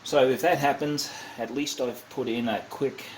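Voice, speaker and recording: A man giving a casual, unscripted hands-on demonstration, halting and thinking aloud as he goes, often correcting himself. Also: medium pitch, fairly even intonation. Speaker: hobbyist demonstrating a kit build. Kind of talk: casual unscripted how-to narration. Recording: clearly noisy amateur home recording.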